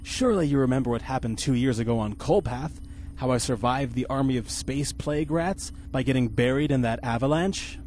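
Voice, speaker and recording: A man's voice: mid-range voice, a little fast, darkish tone, soft background music, close to an everyday voice. A man playing a pompous, incompetent, self-admiring spaceship captain in the style of a 1950s radio serial. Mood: condescending. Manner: pompous, grandiose.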